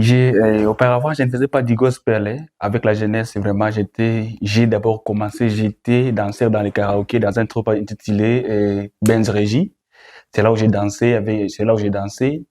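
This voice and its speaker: Young man, Congolese French accent, dark relaxed tone, repetitive phrasing, interview guest. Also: on the low side, normal speed. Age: young adult. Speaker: guest, gospel musician. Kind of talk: interview answers.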